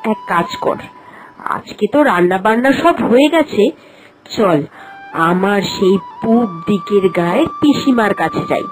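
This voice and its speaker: A woman voicing a sensible, cautious eldest sister-in-law in a village cartoon, advising the others in a measured way. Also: mid-range voice, animated, close to an everyday voice, low-quality recording. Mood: firm, encouraging. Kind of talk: Bengali animated fairy-tale voice acting. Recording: music behind.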